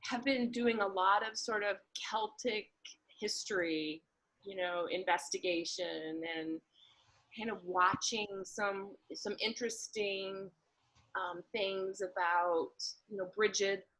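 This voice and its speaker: A woman speaks at an unhurried pace, with a medium pitch. She is thoughtful and somewhat halting, feeling her way through ideas as in a reflective, casual conversation. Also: lively intonation, a bit bright, poor audio. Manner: halting, thoughtful, searching for words.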